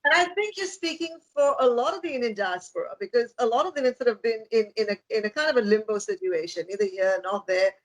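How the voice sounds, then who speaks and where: A woman radio host with a fairly high voice, speaking in a studio. She draws out her guest with an encouraging tone and frequent agreement. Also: fast talker, few pauses, poor audio. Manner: encouraging, frequent "yeah" and "right". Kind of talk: interviewing.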